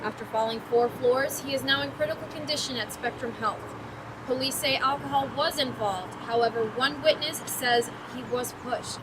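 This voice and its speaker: Female American TV reporter, young, scripted news delivery, higher pitch, noisy location sound.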